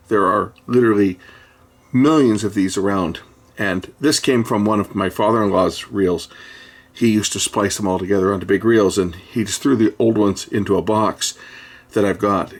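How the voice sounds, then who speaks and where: Low-voiced man at a workbench. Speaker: presenter of an electronics repair video. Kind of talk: casual hands-on demonstration commentary. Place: indoors at a workbench. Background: music from tape and player.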